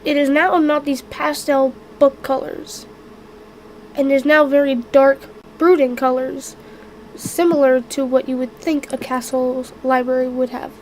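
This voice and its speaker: A woman running a tabletop game on stream, halting and clearing her throat as she narrates while sick and losing her voice. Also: high voice, level tone, a little hiss or hum, low-quality recording. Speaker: game master.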